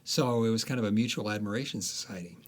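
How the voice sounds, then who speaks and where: A man with a low voice tells stories as a guest author in an interview. He speaks in a loose, conversational way, pausing on fillers and restarting his sentences as he goes. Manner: unhurried storytelling with many fillers and restarts.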